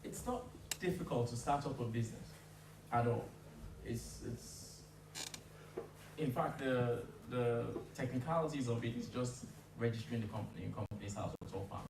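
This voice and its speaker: A man on a discussion panel giving measured, explanatory business advice, with some restarts. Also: medium pitch. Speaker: panelist, founder. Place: hall at a community event. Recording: echoey room from far off, noisy.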